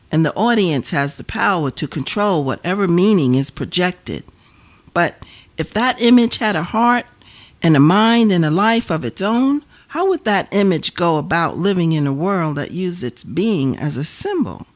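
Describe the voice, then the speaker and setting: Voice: mid-range voice, animated, normal speed, lightly acted. A performer playing a skeptical, philosophical questioner in an audio drama, pressing another character with pointed questions. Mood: musing.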